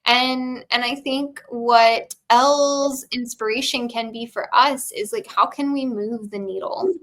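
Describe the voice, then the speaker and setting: Reflective woman with a high voice. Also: steady tone, measured pace, a bit bright. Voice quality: high-pitched voice. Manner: reflective, with many fillers like "you know" and "um". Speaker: podcast co-host. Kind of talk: casual chat about a film and tarot.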